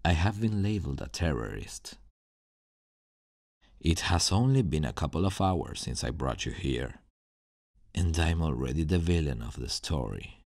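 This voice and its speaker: A man playing a zealous, self-righteous villain scientist in an ASMR roleplay, speaking in a deliberate, theatrical, intense way with a gravelly, husky voice. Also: extremely low pitch, expressive, darkish tone, no room sound, studio quality, exaggerated voice. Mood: brooding, defiant. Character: menacing, zealous male supervillain scientist, self-righteous and brooding.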